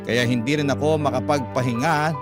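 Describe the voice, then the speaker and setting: Male Filipino radio narrator, mid-pitched, reading a personal story dramatically over strong music. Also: fairly even intonation, fairly quick.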